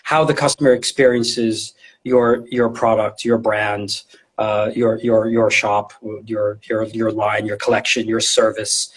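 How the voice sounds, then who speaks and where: A man's voice: on the low side, level tone, a little slow, husky. A middle-aged man speaking as an interview guest, explaining his field in an informal, conversational way and often repeating words as he thinks. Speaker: guest, retail design and visual merchandising specialist.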